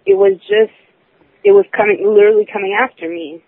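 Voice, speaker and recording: A woman in her twenties calling in to a talk show. She tells her story haltingly, restarting and correcting herself often. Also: mid-range voice, fairly even intonation, no echo. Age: 20s. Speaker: caller. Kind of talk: personal account on a call-in show. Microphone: phone. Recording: tinny phone line.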